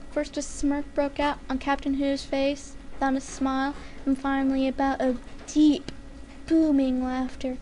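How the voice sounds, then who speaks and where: A woman's voice: sits high, level tone, measured pace, warm tone. A woman giving an amateur dramatic narration of a comic fan-written story. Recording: faint background noise.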